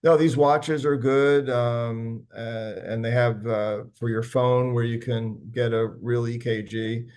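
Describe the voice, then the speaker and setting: A man speaking as a physician on a health panel, answering audience questions conversationally with many pauses and restarts. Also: mid-range voice, steady tone, a little mellow. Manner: conversational, frequent fillers and restarts. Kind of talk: medical panel Q&A.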